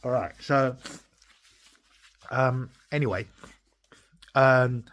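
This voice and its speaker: A man's voice: mid-range voice, very slow, fairly bright tone, a little hiss or hum. Forties man, Taiwanese and Mandarin native, from Taiwan; emphatic vlog monologue, keeps checking in with "okay?", swears in places. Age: 40s. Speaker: entrepreneur vlogger. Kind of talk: impassioned monologue to camera.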